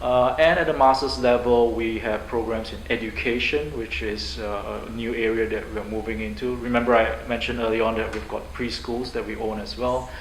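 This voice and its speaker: A man's voice: medium pitch, fairly even intonation, moderate pace, few pauses. Guy, university head, upbeat answers full of uh's, echoey room with faint hiss. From Singapore. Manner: upbeat, fluent with frequent uh fillers. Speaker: university president. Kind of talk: interview answers.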